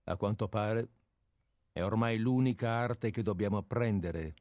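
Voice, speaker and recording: A sardonic, grave intellectual acted by a man in a radio play. He speaks slowly in a lowish voice, with terse and scornful questions. The sound is dry, with no room around it. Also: darkish tone, no echo, lightly acted. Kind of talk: radio drama dialogue.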